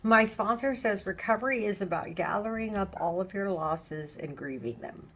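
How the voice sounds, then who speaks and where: Middle-aged woman, medium-pitched, emphatic talker. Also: expressive, moderate pace, fairly bright tone, slight background noise. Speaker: show host, recovery counselor. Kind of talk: casual conversational talk.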